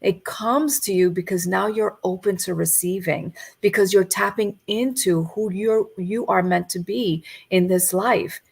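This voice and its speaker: Gentle fifty-something coach lady, gravelly and husky, video call. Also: medium pitch, fairly even intonation, unhurried, few pauses. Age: 50s. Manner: gentle, reflective. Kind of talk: motivational talk.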